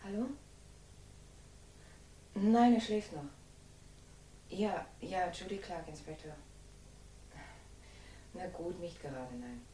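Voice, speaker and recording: A soft-toned, lowish-voiced woman, reticent and guarded in a 1960s radio thriller. She sounds far from the microphone in an echoey room. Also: takes it slowly, pauses often. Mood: subdued. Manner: hesitant, reticent, understated. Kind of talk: late-1960s German radio crime drama acting. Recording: distant, roomy, faint noise and rumble.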